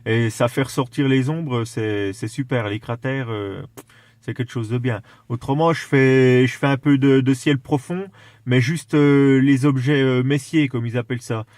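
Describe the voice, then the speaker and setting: A man in a casual radio chat, explaining things with enthusiasm while haltingly searching for his words. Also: medium pitch, steady tone, darkish tone, dry recording. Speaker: amateur radio operator.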